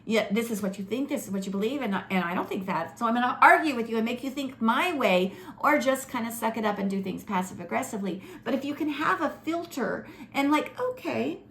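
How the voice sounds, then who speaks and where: A woman's voice: fairly high pitch, fast talker, hardly any pauses. A woman coaching couples in casual talk, acting out example dialogue as she explains. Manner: animated, acts out example dialogue. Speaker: relationship coach.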